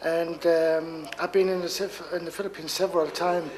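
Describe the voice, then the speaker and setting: A man from Denmark answers interview questions, halting often on filler sounds. His voice sits quite high for a man. A busy crowd murmurs clearly behind him. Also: flat delivery. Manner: hesitant, many filler sounds. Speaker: football team founder.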